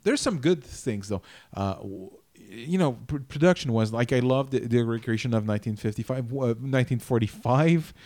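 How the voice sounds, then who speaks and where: A man co-hosting a podcast who talks in a halting way with many restarts while discussing a miniseries. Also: mid-range voice, warm tone, no echo. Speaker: podcast co-host. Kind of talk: casual review chat.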